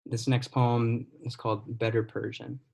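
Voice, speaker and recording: Young guy reading poems over a video call, steady and deliberate, mid pitched, trails off quiet at line ends. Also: gravelly. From United States. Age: 20s. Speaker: poet. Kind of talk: poetry reading.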